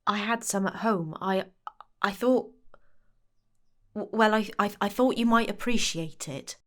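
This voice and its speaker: A woman's voice: mid-range voice, lightly acted. A woman playing a plain-spoken, kindly village shopkeeper in an audio story, talking informally. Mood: shy, kind. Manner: unhurried, informal, colloquial. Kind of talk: acted dialogue in audio story.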